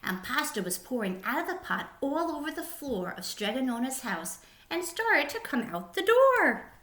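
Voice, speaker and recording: A woman's voice: high voice, sing-song, moderate pace, fairly bright tone, reverberant. A woman narrating a children's picture book expressively, like a teacher reading aloud.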